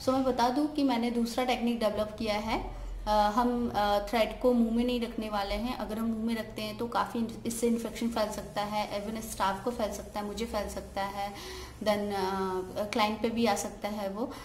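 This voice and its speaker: A young woman, a salon owner, talks casually to a close phone camera, pausing often with "uh" fillers and mixing in English words. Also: mid-range voice, little pitch movement, darkish tone. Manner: hesitant, many "uh" fillers, mixes English words. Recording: echoey room, faint background hiss.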